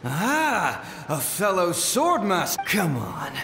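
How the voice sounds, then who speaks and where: A cocky spirit swordsman in a video game. His taunts come very slowly in a high, swooping, echo-laden voice, with noise around it. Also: wildly swooping pitch, exaggerated voice. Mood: taunting. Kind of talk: scripted video game voice acting. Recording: echoing, noisy game effect.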